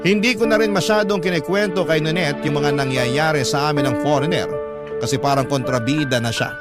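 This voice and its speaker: A man's voice: on the high side, brisk, lightly acted. A man playing an infatuated young letter sender, confiding a secret romance in gushing first-person radio drama narration. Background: loud music.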